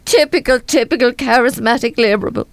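A woman's voice: on the high side, fairly quick, dry recording, natural voice. An actress playing an anxious, worn-down, self-doubting mother in a radio drama. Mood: bitter. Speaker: radio play actor. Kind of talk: live radio drama acting.

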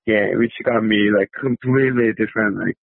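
A man with a Korean accent answers as an interview guest in a lowish voice. He speaks conversationally and haltingly, with many filler words, over a thin, phone-like line with no room sound. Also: fairly even intonation, gravelly, no echo. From South Korea. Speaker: podcast guest, company executive.

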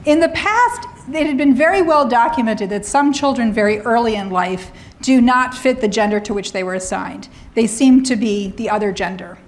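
A middle-aged woman lecturing on academic freedom, slightly hoarse, often clearing her throat and adding wry asides. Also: on the high side, normal speed, hardly any pauses.

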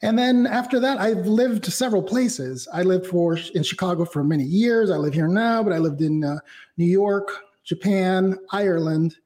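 High-voiced reflective man, interview guest. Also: moderate pace, a little mellow. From Mexico. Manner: reflective, talks in long winding sentences with fillers. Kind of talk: casual interview.

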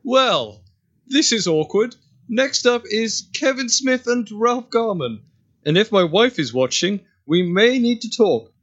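A man's voice: sits high, very animated, takes it slowly, a bit bright, muffled recording, full character voice. A man playing a flustered talk show announcer in an audio drama. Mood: awkward.

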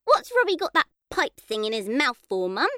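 A woman's voice: a little high, sing-song, normal speed, pushed and bright, no echo, clean studio sound, pitched above the performer's own voice. A woman voicing a curious, playful little girl with a childlike sing-song manner, in an audiobook story. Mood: puzzled, questioning. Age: young child. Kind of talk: audiobook character voice.

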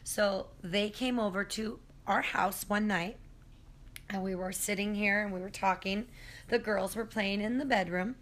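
A hoarse middle-aged woman telling a spooky story at her kitchen table, rambling with many ums and self-corrections, recorded on a phone. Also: medium pitch, fairly even intonation, a little slow, fairly bright tone. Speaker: guest storyteller. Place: apartment kitchen at home.

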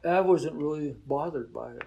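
An older man, a boarding-school teacher, talking in a relaxed, reflective way in an interview, with frequent "you know" fillers. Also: fairly high pitch, moderate pace, gravelly, dull audio, a loud crowd. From Oklahoma.

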